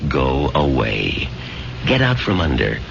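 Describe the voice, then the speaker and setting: A calm, probing doctor of the mind in an old radio play. His voice is rock-bottom low and gravelly, with measured clinical phrasing. The sound is noisy and dulled. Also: full character voice. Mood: firm, reassuring. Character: calm, insistent male psychiatrist doctor. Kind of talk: 1970s radio drama acting. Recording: noisy, muffled 1970s radio drama recording.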